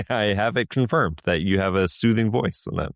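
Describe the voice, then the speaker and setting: A man's voice: lowish voice, normal speed, creaky, no room sound. A man who is a regular podcast guest, chatting in a playful, teasing way, laughing often and restarting his words. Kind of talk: casual chat.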